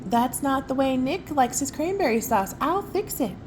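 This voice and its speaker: Woman playing a flustered, high-voiced storybook hostess. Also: sing-song, moderate pace, noisy background. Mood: fussy, bustling. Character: flustered, bustling older woman, Santa's hostess wife. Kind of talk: children's storybook character voice.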